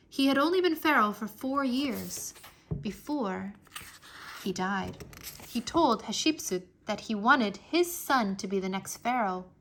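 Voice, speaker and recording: A woman reading a history book aloud for children in an expressive, deliberate storytelling manner. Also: a little high. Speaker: read-aloud narrator.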